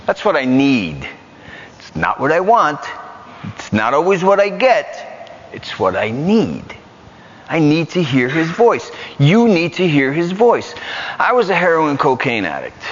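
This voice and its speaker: A man with a New York accent preaching a lively, joking sermon in a church. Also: medium pitch, a little slow, a little hiss or hum. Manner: animated, joking, repetitive. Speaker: guest preacher. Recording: muffled church hall recording.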